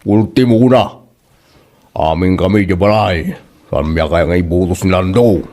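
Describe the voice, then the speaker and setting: A man's voice: sits low, unhurried, warm tone. A man with an Ilocano accent playing a blunt, exasperated villager in a comedy drama.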